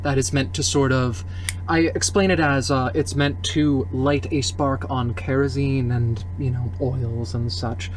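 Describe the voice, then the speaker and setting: Mid-pitched man narrating a roleplay game. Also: steady tone, measured pace, noisy background.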